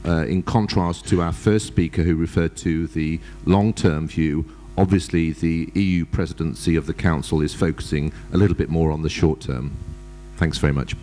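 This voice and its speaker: A man with a very low voice delivers formal remarks at a conference panel, pausing often to search for words. His speech comes through a conference microphone with a faint mains hum underneath. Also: steady tone, dark tone. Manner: measured, many hesitations. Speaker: panelist, ambassador.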